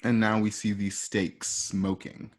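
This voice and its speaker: A man's voice: on the low side, little pitch movement, takes it slowly. A man narrating script scenes informally, in a chatty storytelling manner, as if walking listeners through each shot. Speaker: narrator reading stage directions. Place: at home.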